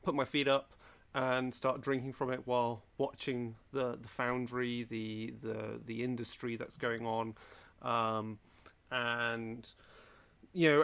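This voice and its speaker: A man playing a tabletop game, casually improvising what his character does in a halting way. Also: mid-range voice.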